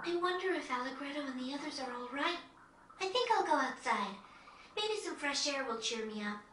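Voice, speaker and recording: A woman playing a gentle, polite and earnest young teenage girl in a video game, speaking courteously. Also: high voice, expressive, a little fast, reverberant, distant mic, slight background noise. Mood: polite, pensive. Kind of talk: video game cutscene acting.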